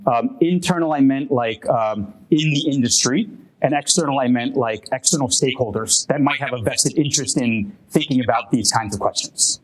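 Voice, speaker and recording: A man fielding audience questions after a talk, thinking aloud with many pauses and restarts. His pitch sits in an ordinary middle range. He speaks in a conference room. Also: rough recording. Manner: hesitant, many restarts and fillers. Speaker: presenter answering audience questions. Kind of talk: academic Q&A at a workshop.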